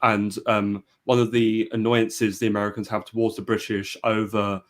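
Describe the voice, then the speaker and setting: A man's voice: on the low side, level tone, moderate pace. A man hosting a live history discussion, speaking with frequent hesitations and restarts. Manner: hesitant, many fillers and restarts. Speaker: podcast host. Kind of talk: casual live-stream discussion.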